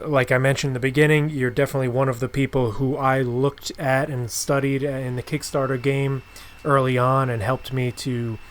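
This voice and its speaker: Male podcast host, mid-pitched, conversational, feels his way through his phrasing. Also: a little hiss or hum. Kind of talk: casual interview chat.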